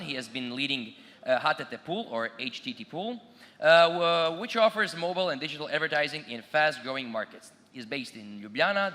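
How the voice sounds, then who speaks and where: A man's voice: mid-range voice, sharp tone. A man acting as conference emcee, introducing speakers in an upbeat, announcer style. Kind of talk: stage announcement. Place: conference hall. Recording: echoey conference hall.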